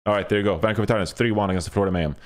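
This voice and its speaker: Low-voiced man hosting a podcast panel. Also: very fast. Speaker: esports podcast host. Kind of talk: casual panel discussion.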